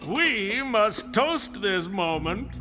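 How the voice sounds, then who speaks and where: An actor playing an excitable, pompous professor and inventor of the 1890s in a theatrical radio drama style. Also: male voice, high voice, very slow, over music, heavily acted. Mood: elated, grandiose.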